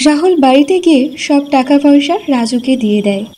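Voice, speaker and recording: A woman's voice: high-pitched, expressive, a little fast, mellow, faint music behind. A woman giving scripted narration for a cartoon folk tale.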